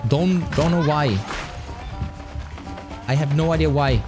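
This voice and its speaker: A man streaming a game, with a light, high-pitched voice, chatting casually and rambling with lots of laughs. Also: slow pace. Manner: animated, rambling, laughs often. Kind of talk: casual live-stream chat while playing. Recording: music playing underneath. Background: game music.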